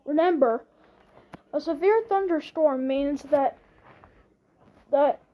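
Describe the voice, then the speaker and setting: A woman delivering an improvised mock emergency alert announcement in a halting way, often repeating herself and restarting. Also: high-pitched, highs cut off.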